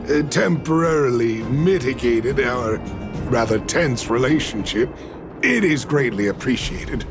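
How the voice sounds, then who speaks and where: Weary fatherly miner guy in a game, creaky, swoopy pitch, earnest and halting. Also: full character voice. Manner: halting, conciliatory. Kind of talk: video game voice acting. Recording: game music behind.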